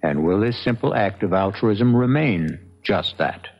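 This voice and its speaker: A man narrating a 1970s radio mystery in a measured, formal, theatrical, gravelly voice. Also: on the low side, lively intonation. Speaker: host and narrator of a mystery drama series. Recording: dull vintage 1970s radio recording.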